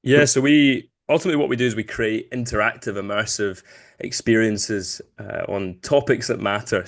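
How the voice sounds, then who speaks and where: A man in his late twenties with a lowish voice and a soft touch of Glasgow in his accent. He speaks as an interview guest, casual and chatty, happy to poke fun at himself. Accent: light Glaswegian. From Glasgow, Scotland. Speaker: podcast guest, business founder.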